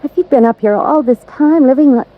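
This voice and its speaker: A woman's voice: sits high, a little fast. An actress playing an earnest, anxious young American woman, loyal and pleading, in a 1950s radio drama. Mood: troubled. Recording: muffled, hissy vintage radio recording.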